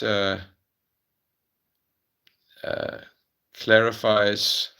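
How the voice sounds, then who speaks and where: A man's voice: on the low side, vocal fry, pushed and bright. A middle-aged man with a South African accent, answering viewers' questions on a casual livestream, hesitant, with many ums and restarts.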